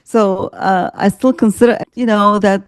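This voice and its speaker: A woman's voice: medium pitch, steady tone, measured pace, clean tone, dry recording. An older woman from Ukraine with an Eastern European accent, chatting as an interview guest over a video call, laughing often and using many fillers. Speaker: guest coach. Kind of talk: casual interview chat.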